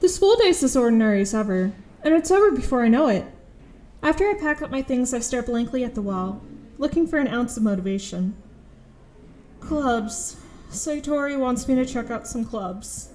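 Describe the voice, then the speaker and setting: A woman playing a reluctant, exasperated high school girl in an audio drama, with dry, grudging first-person narration and dialogue. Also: expressive, moderate pace, a little mellow, slight background noise, lightly acted. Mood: wry, resigned.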